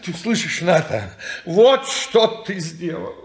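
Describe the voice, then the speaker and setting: A man's voice: high-pitched, very animated, slow, full character voice. An actor playing a fussy, lecturing old man who owns the house, in a 1950s radio play. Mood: flustered. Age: older.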